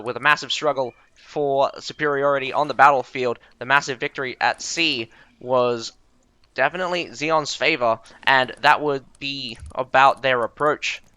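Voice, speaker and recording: A man's voice: mid-range voice, sharp tone, clear, resonant tone, no room sound. A young man casting a strategy game live and chatting with viewers in a chatty, self-deprecating way. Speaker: game caster and streamer. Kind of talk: live game commentary with chat banter.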